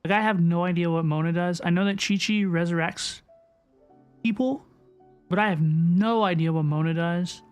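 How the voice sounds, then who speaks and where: A woman's voice: lowish voice, normal speed, a little mellow. A woman livestreaming a game, chatting casually and effusively as she answers her viewers. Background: game music.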